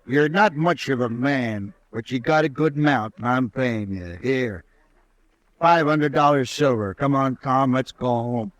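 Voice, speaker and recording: An actor playing a sour rancher in a 1950s radio drama, slow and grudging. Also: male voice, no echo, lightly acted. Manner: slow, grudging, low-key. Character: gruff rancher who lost a bet, sour.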